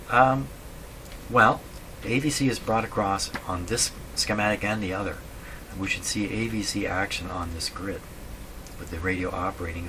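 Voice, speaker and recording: Low-voiced man musing over noisy audio. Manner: halting, musing, talks to himself with questions. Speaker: radio repair hobbyist, host. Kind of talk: casual unscripted workbench explanation, thinking aloud. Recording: clearly noisy room recording.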